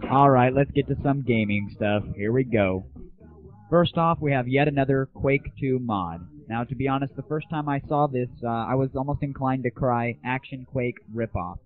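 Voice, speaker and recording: A man's voice: medium pitch, normal speed, faint music behind. A young man hosting a comic internet audio show with a sarcastic, cocky delivery. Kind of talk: scripted comic monologue. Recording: tinny, band-limited lo-fi audio.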